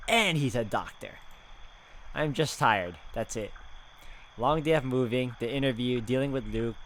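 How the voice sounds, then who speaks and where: A man's voice: mid-range voice, measured pace, fairly bright tone, slight background noise. A man reading a visual novel's narration aloud in a dramatic way, with sarcastic asides. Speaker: video game player reading narration aloud.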